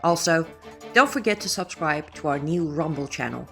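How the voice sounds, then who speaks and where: Lowish female announcer over soft music. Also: lively intonation, normal speed, gravelly. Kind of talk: scripted promotional voice-over.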